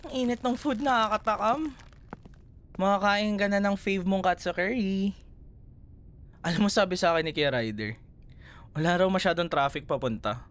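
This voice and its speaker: Man acting as slow, tender Filipino boyfriend. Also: high voice. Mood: cheerful. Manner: teasing, tender, chuckles often. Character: affectionate, playful young boyfriend comforting his scared partner. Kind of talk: ASMR roleplay, intimate one-sided dialogue.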